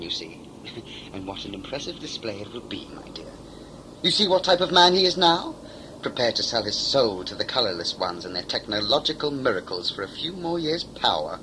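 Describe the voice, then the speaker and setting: A man's voice: mid-range voice, sharp tone, rough recording. Guy acting as smug chatty scifi villain, fake polite, voice swoops around loads, bit of noise behind. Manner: condescending, mock-polite, theatrically gloating. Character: smug, talkative male villain, covert agent and mocking saboteur. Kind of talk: audio sci-fi drama acting.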